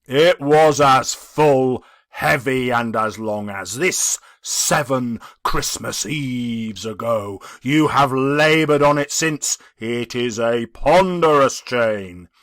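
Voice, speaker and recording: Audiobook phantom played by a man: anguished dead businessman, declamatory and mournful, ringing forceful tone, very dry sound. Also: mid-range voice, no echo. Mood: grave, mournful. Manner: declamatory, mournful, drawn-out delivery. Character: anguished ghost of a dead businessman, tormented and booming. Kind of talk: dramatic audiobook character reading.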